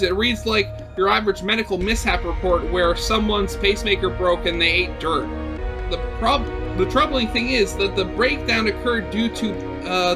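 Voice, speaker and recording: A man reading a visual novel aloud as expressive narration, dramatic, with brief conversational asides. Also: sits high. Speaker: video game let's player reading a visual novel aloud.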